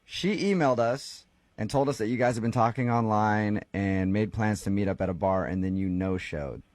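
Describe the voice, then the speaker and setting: A man's voice: on the low side, moderate pace, darkish tone, no echo. A man hosting a morning radio show, chatting with a caller in a casual, teasing way and often breaking into laughter. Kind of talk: casual morning radio chat on a phone call. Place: radio studio. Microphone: studio microphone.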